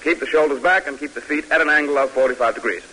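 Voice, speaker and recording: An actor playing an eager, respectful young cadet son in a 1950s radio drama, speaking earnestly and deferentially. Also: male voice, fairly high pitch, fast talker, bright, forceful tone, poor audio. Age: young adult. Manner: deferential, hesitant openings, earnest. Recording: hissy vintage radio recording.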